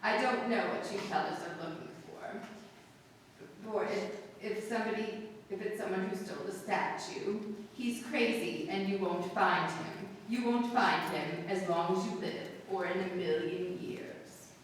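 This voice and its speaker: A woman's voice: medium pitch, measured pace, close to an everyday voice. A woman playing a brusque, dismissive shop owner in a 1950s-style radio drama, emphatic and repetitive. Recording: distant, very echoey hall with noise.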